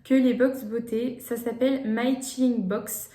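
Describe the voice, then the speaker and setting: A woman's voice: a little high, fairly even intonation, measured pace, warm tone. A young woman vlogging gift ideas in casual chat to the camera, hesitant, with many fillers and restarts. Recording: echoey room.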